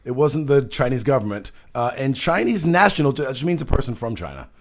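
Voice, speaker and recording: A man's voice: mid-range voice, big pitch swings, fairly quick. Male host, loose rambling delivery, frequent self-corrections. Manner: rambling, many restarts and fillers. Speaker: show host. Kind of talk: casual political chat.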